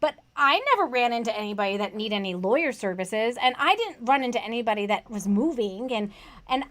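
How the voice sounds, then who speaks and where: Chatty higher-voiced woman host. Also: lively intonation, brisk, fairly bright tone. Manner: chatty, earnest, rhetorical questions. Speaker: host, online tutoring coach. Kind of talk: casual conversational chat.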